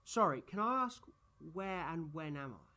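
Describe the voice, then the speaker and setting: A man's voice: a little high, expressive, normal speed, bright, forceful tone. A man playing an eccentric, playful time-travelling alien in a fan audio drama, rambling and boastful with whimsy. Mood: cheerful, rambling. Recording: noisy track with background ambience.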